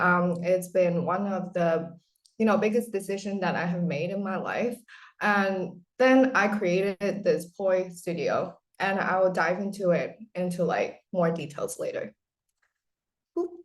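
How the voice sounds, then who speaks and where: A young woman in her twenties with a slightly low-pitched voice, presenting to a group in a loose, chatty way. She talks as a studio founder and fills her sentences with "like" and "you know". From Hong Kong. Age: 20s. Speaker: studio founder giving a talk. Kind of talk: informal presentation.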